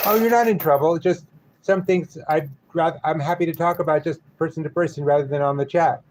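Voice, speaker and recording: A man's voice: a little high, brisk, a little mellow, slight background noise. A man from New York with a Brooklyn accent, chatting casually and joking with viewers on a live stream.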